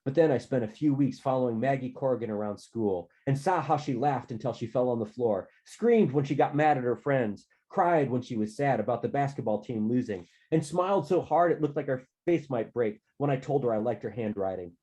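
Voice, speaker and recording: A man reading a novel aloud as a goofy, lovestruck, optimistic teenage boy, excitable and earnest in an animated first-person telling. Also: mid-range voice, brisk, few pauses, close to an everyday voice. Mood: hopeful, smitten. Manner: excitable, earnest, slangy and emphatic.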